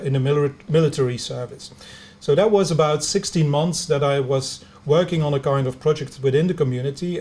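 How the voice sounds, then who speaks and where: A middle-aged man from Belgium whose first language is Dutch, giving a lecture as a biomedical engineer, speaking English with a Belgian Dutch accent and many pauses, fillers and restarts. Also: on the high side, a little mellow. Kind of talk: lecture to students over video conference.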